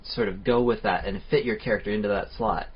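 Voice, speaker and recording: A man's voice: fairly low pitch, fairly even intonation, quick pace, slightly rough. A man co-hosting a podcast, rambling through his points in a casual chat. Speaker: podcast co-host. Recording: dull lo-fi podcast audio.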